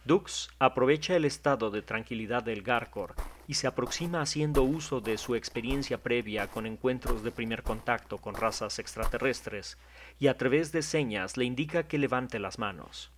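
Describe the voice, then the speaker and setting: A man, narrating a scripted audio drama at a mid pitch. He tells the story in a measured, descriptive way, with a faint hiss behind him.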